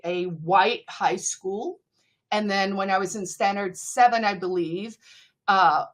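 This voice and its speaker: A middle-aged woman with a South African accent, her first language Afrikaans, sits as an interview guest and tells long stories. Her voice sits at a middling pitch for a woman, and she keeps it animated, slipping in short laughs and lively asides between points. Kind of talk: conversational interview storytelling.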